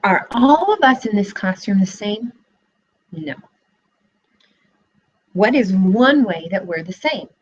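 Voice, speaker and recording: A young woman teacher giving a read-aloud lesson for children, asking questions in an expressive way, recorded on a laptop webcam in a living room. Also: medium pitch, slow pace, pauses often, breathy edge. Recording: dull lo-fi webcam audio.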